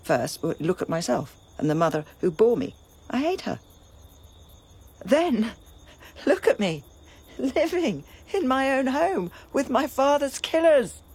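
A woman's voice: high voice, big pitch swings, unhurried, pauses often, darkish tone, slightly rough, heavily acted. A woman playing Electra, bitter grieving princess. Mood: bitter lament. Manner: impassioned, bitter lament with whispered passages. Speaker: stage actor. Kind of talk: classical tragedy stage acting.

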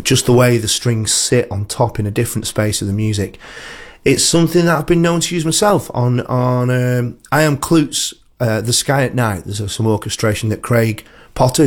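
Man hosting a music radio show, chatty. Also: lowish voice. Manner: self-deprecating, playful, rambling.

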